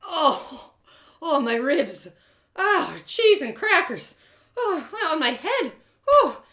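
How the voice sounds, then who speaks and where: A woman plays a young fantasy heroine, plucky but easily flustered. Her high, bright voice is earnest and full of exclamations and stammers, with some room echo. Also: very animated, slow, heavily acted. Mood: pained. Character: young female adventurer, plucky, flustered, kind-hearted. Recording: roomy echoing sound.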